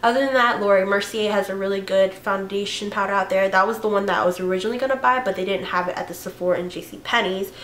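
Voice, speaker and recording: Young female vlogger, hoarse with a cold, chatty and rambling; echoey room, faint noise. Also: medium pitch, steady tone, fast, few pauses, a bit bright. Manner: chatty, rambling, with fillers and self-corrections. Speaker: beauty vlogger. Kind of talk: casual makeup tutorial chat.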